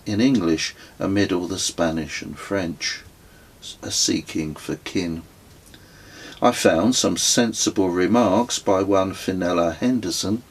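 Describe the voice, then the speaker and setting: A middle-aged man narrates a written memoir in a deep voice with a husky grain. He takes his time, telling the story in a measured, literary way, as an author reading his own audiobook.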